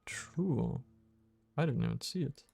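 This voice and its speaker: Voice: medium pitch, big pitch swings, unhurried, creaky, mellow, slightly rough. A game streamer commentating casually while playing, jokey and thinking aloud.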